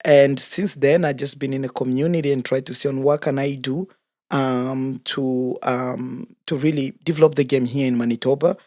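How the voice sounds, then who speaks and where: Guest, male, 30s, from Congo; earnest, easygoing talker, mid-pitched, dry close-mic sound. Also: steady tone, darkish tone, dry recording. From Democratic Republic of the Congo. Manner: earnest, unhurried storytelling with fillers. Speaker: guest, soccer coach and organizer. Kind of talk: casual interview conversation.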